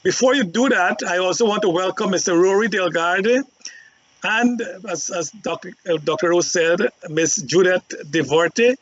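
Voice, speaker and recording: A man's voice: sits high, normal speed, a bit bright, a little hiss or hum. A man moderating a panel show, introducing guests in a rambling way with many filler ums. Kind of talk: talk show hosting. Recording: dry, dull lo-fi line.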